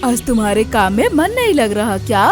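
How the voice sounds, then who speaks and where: A woman's voice: on the high side, big pitch swings, moderate pace. A woman voicing a stern, demanding male factory boss bird in a Hindi cartoon story, firm and commanding. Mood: questioning, firm. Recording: music behind the voice.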